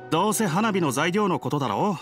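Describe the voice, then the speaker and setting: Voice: mid-range voice, animated, fairly quick, music in the background, lightly acted. A performer playing a busy, polite young apprentice blacksmith boy in an anime game, speaking casually. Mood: apologetic. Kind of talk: Japanese anime game voice acting.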